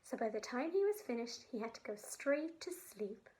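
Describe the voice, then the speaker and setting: A woman telling a story to young children, in simple phrases with pauses for her listeners. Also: fairly high pitch, very animated, darkish tone, slight background noise.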